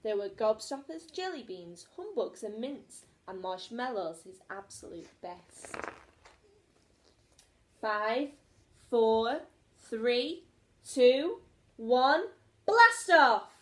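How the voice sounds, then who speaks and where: A woman's voice: fairly high pitch, sing-song, extremely slow, pauses often, a bit bright. A woman teacher reading a children's story aloud expressively, recorded in an echoey room.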